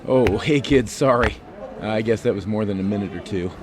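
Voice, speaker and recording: A man's voice: fairly low pitch, moderate pace, crowd noise, close to an everyday voice. A man playing a friendly, apologetic middle-aged dad in an audio drama, chatty in manner.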